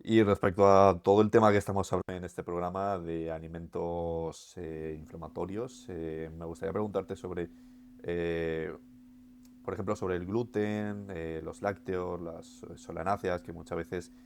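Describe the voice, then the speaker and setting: A man with an accent from Spain, co-hosting a podcast and asking questions in a measured, hesitant way. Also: sits low, little pitch movement, a little mellow, slight background noise. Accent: Spain Spanish. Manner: measured, hesitant, lots of "eh" fillers. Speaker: co-host. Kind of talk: casual podcast conversation.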